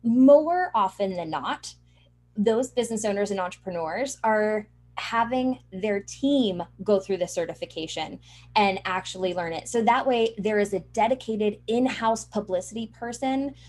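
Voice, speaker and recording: A woman's voice: medium pitch, expressive, normal speed, darkish tone. A woman interview guest explaining things in an earnest, assured conversational way. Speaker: interview guest, publicity trainer and journalist.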